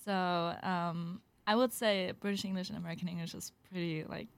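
A teenage girl from Germany talks as an exchange student guest. She is chatty and fills her speech with little pauses and words. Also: fairly low pitch, fairly even intonation, vocal fry. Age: teens. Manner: chatty with many fillers and laughs.

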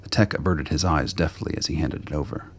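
A man with a deep, gravelly, husky voice reading scripted narration for a radio play. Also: fairly even intonation, a little fast.

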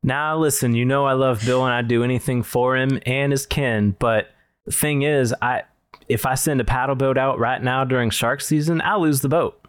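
A man's voice: medium pitch, normal speed, clean tone, no echo, natural voice. Man acting a folksy Southern boatman, rural drawl, wary, stubborn, dry close recording, comedy skit. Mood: cautioning. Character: stubborn folksy backwoods paddle boat renter, man.

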